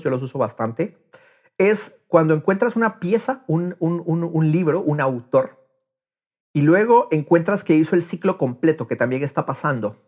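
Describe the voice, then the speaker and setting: A man with a fairly high, husky voice talks casually as if on a podcast. His pitch swings widely and lively, and he slips English phrases into his sentences. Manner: drops English phrases into Spanish. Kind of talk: casual conversational podcast talk.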